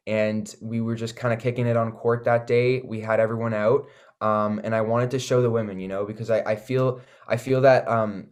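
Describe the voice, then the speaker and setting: A young man with a Canadian accent talks as a musician being interviewed. His voice is a bit low, and his delivery is laid-back, casual and full of slang. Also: darkish tone. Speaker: singer-songwriter guest.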